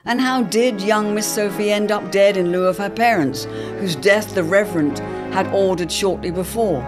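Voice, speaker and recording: A female narrator delivers scripted, dramatic serial narration. Music plays clearly behind her voice. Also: mid-range voice, moderate pace.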